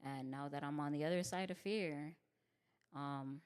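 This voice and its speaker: A young woman stand-up comic from Tucson speaks in a low, deep voice with real bass in it. Her tone is smooth and resonant, and she talks openly with lots of "like" and "you know what I mean", laughing easily as she tells her story. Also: unhurried, a little mellow. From Tucson, Arizona. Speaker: stand-up comedian, podcast guest. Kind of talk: casual interview conversation.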